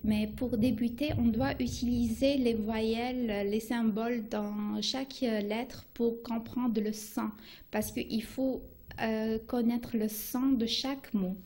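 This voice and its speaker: A woman whose first language is Arabic, teaching a beginner Arabic lesson in French on the radio, talking in a halting way with many restarts and fillers. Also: fairly high pitch, fairly even intonation, dark tone, slight background noise.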